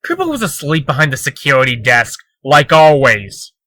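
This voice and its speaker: A man's voice: medium pitch, huge pitch swings, normal speed, pushed and bright, no room sound, clean studio sound. A man playing a cocky, defensive trickster under questioning, glib and self-justifying, in a comic dub. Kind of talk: comic dub dramatic acting.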